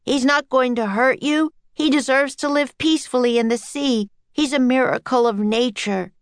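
Woman doing an earnest storybook boy, higher pitched, desperate n pleading, dry recording. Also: normal speed, dry recording.

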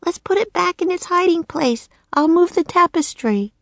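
A woman voicing a bold, cheerful young girl who has been turned into a raven and croaks her words. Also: very high voice, expressive, normal speed, dry recording, pitched above the performer's own voice, full character voice. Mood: eager. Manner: eager, bright, croaking.

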